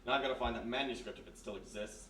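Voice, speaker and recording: Slightly distant in an echoey room, a man plays an authoritative police major for a radio mystery. He talks quickly in a gruff, officious way at an ordinary male pitch. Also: bright, forceful tone, close to an everyday voice. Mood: businesslike. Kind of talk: 1940s radio mystery acting, live on stage. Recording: echoey live room, distant mic, faint noise.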